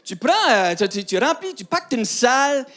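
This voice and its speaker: A man's voice: extremely high pitch, sing-song, moderate pace, fairly bright tone. A man in his thirties with an Acadian French accent, performing a stand-up routine in a chatty, self-mocking way and often laughing at his own lines. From New Brunswick, Canada. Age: 30s. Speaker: stand-up comedian. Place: comedy stage before a live audience. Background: faint audience laughter.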